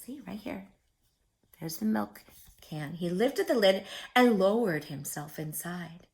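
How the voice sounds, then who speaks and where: A woman's voice: medium pitch, expressive, slow pace, gravelly, darkish tone. A woman reading a picture book aloud to young children as a story-time host, theatrical and often putting questions to her listeners.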